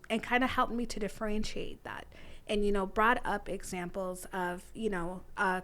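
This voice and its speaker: A young woman whose first language is Arabic, a podcast guest telling her story in a thoughtful, measured way with many fillers. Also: medium pitch, a bit bright. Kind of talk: conversational interview.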